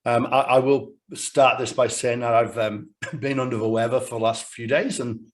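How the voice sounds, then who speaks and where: Croaky-voiced man presenting a webinar. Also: mid-range voice, fairly even intonation, normal speed. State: croaky voice from a cold, coughing. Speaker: sales director presenting a webinar. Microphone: video call.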